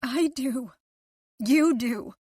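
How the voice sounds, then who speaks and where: A woman narrator voicing a frightened, guilt-ridden wife in an audiobook, tearful and pleading, her voice climbing toward shouts. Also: a little high, moderate pace, breathy edge, no echo, studio quality, pitched above the performer's own voice. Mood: panicked. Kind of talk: audiobook character voice.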